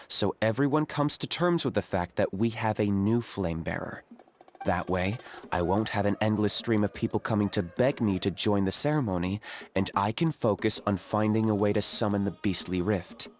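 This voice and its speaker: An actor playing a cool-headed young male hunter for hire in a video game, calm and matter-of-fact. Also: on the low side, normal speed, a little hiss or hum, natural voice. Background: game music.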